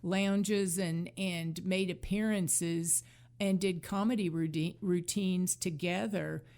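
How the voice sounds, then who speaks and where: A woman's voice: fairly low pitch, fairly even intonation, slow, darkish tone. A woman co-hosting a podcast, chatting casually and thinking aloud about old television. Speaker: podcast co-host. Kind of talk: casual chat, nostalgic TV commentary.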